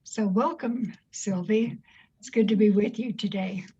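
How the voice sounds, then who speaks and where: A woman's voice: mid-range voice, a little slow, mellow, dull audio, slight background noise. A woman hosting a conversational interview as its interviewer. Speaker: interview host.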